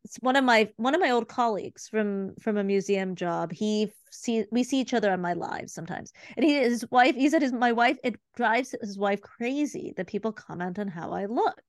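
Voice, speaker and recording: A woman's voice: a little high, expressive, dry recording. Chatty woman in her forties, dry close sound. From Cleveland, Ohio, USA. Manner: quick, chatty, heavy with fillers and restarts. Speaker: guest, art consultant. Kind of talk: casual conversational interview.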